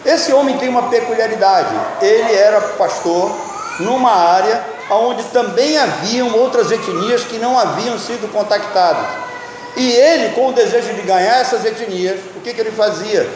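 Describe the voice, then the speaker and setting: A man's voice: sits high, moderate pace, background noise. A man preaching a teaching sermon, often asking the listeners questions. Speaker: pastor and Bible teacher. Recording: echoey hall with long reverb.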